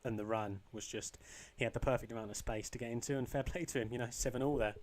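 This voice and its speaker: A young man with a slightly croaky voice, casually commentating his own football video game match, rambling with ums and restarts. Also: mid-range voice, steady tone, quick pace, a little mellow. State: a bit croaky. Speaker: gaming channel host.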